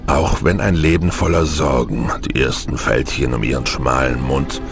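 A man's voice: extremely low pitch, normal speed. A man narrating a science fiction audio drama in a dramatic, scripted style. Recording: music behind the narration.

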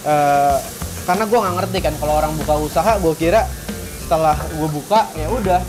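Young male founder guest, slightly high, relaxed chat, kitchen lapel mic, music bed. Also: brisk, low-quality recording. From Indonesia. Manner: relaxed, mixes English business terms, many fillers. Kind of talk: casual chat while cooking. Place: home kitchen, indoors. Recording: background music over the talk. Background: background music and frying sounds.

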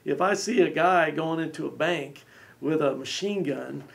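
Animated gravelly man discussing scripture. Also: on the high side, moderate pace, a little hiss or hum. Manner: gestures a lot, acts out little voices. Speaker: co-host. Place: small studio set with armchairs.

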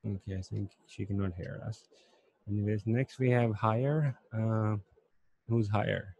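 A man's voice: on the low side, fairly even intonation, measured pace, vocal fry, darkish tone, dry recording, highs cut off. A man in his thirties hosting an online workshop, politely calling on participants one by one. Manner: polite, brief, moves things along. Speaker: workshop host. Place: indoor room. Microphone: handheld microphone.

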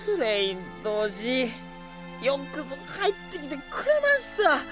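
A man's voice: very high voice, huge pitch swings, a little slow, music in the background, heavily acted. A man playing a tipsy young sorcerer in an anime audio drama, talkative and pushy, with slurred, rambling speech. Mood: boisterous, tipsy. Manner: slurred, boisterous drunken rambling.

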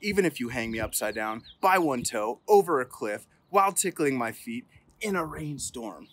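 A man's voice: mid-range voice, very animated, moderate pace, fairly bright tone, a little hiss or hum. A young man reading a children's picture book aloud outdoors in a playful, theatrical way with emphatic build-ups. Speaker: police officer reading at storytime. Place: outdoors on an open terrace.

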